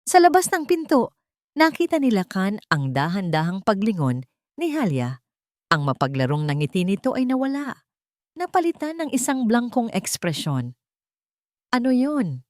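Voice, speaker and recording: A woman's voice: medium pitch, very animated, moderate pace, darkish tone, dry recording, studio quality. A woman narrating a scripted romance audio drama in a dramatic storytelling style.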